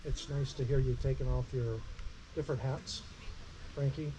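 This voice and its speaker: A man who is a state parks district superintendent, speaking on a panel in a measured, personal way into a handheld mic. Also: fairly even intonation, background noise. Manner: says he has a low voice. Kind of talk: panel remarks at a public meeting. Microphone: handheld microphone.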